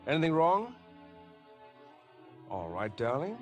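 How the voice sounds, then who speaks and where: A man's voice: fairly high pitch, huge pitch swings, takes it slowly, over music, heavily acted. An actor playing an alarmed, indignant man caught in a murder plot in a 1950s radio drama. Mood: concerned. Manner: tense, indignant, clipped demands. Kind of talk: 1950s radio suspense acting.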